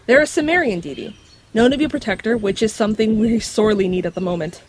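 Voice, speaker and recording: A woman's voice: mid-range voice, quick pace, lightly acted. A woman voicing a knowledgeable magical creature in dog form, explaining things matter-of-factly with dry wit in an audio drama. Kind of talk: scripted audio drama acting.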